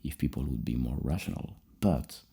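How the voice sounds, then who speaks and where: A soft-spoken ASMR host playing a psychologist, musing in a halting way and repeating words. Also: a bass voice, animated, vocal fry, breathy edge.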